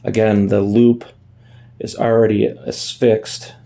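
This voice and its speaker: A man's voice: on the low side, level tone, takes it slowly, vocal fry, mellow. A man giving a casual hands-on tutorial in a folksy way, thinking aloud as he works, recorded on a phone. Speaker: amateur DIY video maker.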